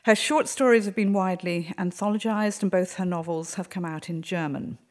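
A woman's voice: fairly low pitch, brisk, a little mellow. A woman acting as moderator, giving an informal, chatty introduction of a guest speaker. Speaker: event moderator.

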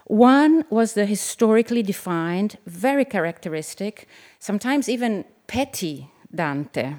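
An Italian woman, a novelist, reading her essay aloud at a live event in a measured, reflective way, with the odd aside. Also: medium pitch, animated, a little mellow. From Italy. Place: event venue with an audience.

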